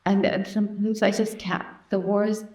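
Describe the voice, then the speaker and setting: A woman's voice: mid-range voice, flat delivery, moderate pace, warm tone. A woman hosting a casual podcast interview, chatty and eager, often chiming in with short replies. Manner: chatty, eager, frequent backchannels. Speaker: podcast host. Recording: roomy echoey room.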